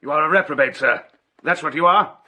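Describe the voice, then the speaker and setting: A man's voice: a little high, fairly quick, bright, forceful tone. An actor playing a stern, angry elderly English father in a radio drama, indignant and emphatic. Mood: outraged. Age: older. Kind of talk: British radio drama acting.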